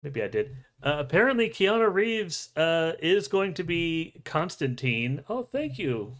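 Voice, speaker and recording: A man's voice: a little high, lively intonation, unhurried, a bit bright. A man hosting a livestream with casual movie news chat, joking and swearing freely. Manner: jokey, profane. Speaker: show host.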